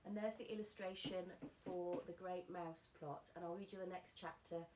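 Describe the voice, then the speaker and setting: A woman's voice: fairly low pitch, steady tone, normal speed, darkish tone. Female primary teacher, storybook read-aloud, mid-range voice, roomy recording with faint noise. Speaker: primary school teacher reading to pupils. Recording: echoey room, faint background noise.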